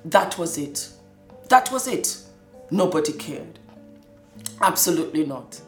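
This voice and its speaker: Lively woman host in an echoey room. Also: low voice, measured pace, faint music behind. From Scotland. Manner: animated, laughs often. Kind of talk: casual personal monologue.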